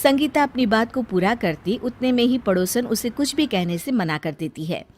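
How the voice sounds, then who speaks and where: A woman with a very high voice narrates a scripted story. She speaks as a storyteller presenting a domestic tale. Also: expressive, moderate pace.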